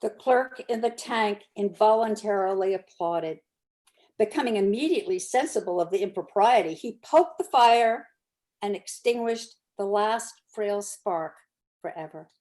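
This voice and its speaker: A woman's voice: medium pitch, a little slow, mellow. A woman narrator reading a classic story aloud as scripted narration.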